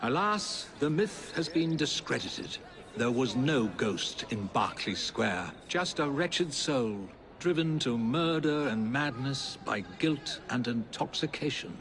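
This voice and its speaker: A man acting as a wry, theatrical Victorian gentleman novelist and amateur sleuth in a video game, with literary phrasing. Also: medium pitch, animated, slow, background noise. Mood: wry, reflective.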